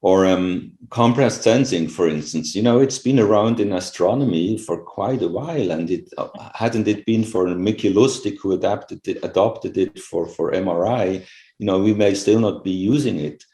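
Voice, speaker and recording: A man's voice: sits low, moderate pace, mellow. A middle-aged Swiss man with a Swiss accent, not a native English speaker, answering interview questions in a thoughtful, conversational way with frequent "you know" and "right?" asides. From Switzerland. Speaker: interviewed scientist. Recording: echoey room.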